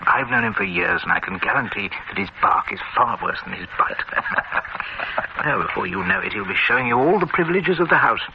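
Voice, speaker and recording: An actor playing a worldly, genial gourmet in a vintage radio drama, talking in an assured, teasing way. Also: male voice, medium pitch, very animated, brisk, creaky. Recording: muffled old radio recording with hiss.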